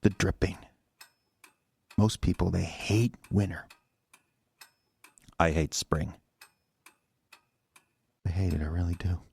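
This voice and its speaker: A troubled man in an audio horror drama, played by a male actor, speaks in a very deep voice full of fry. He is agitated and confused, stammering and circling back over his words as he pleads. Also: fairly even intonation, slow, long pauses, a little mellow, exaggerated voice. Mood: haunted, fixated. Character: agitated confused man confessing to a killing, obsessed with dripping.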